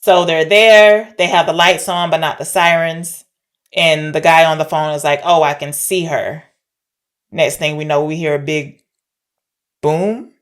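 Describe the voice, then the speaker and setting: A woman's voice: low voice, steady tone, a little slow. A woman co-hosting a podcast, talking informally as she retells and discusses a film. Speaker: podcast co-host.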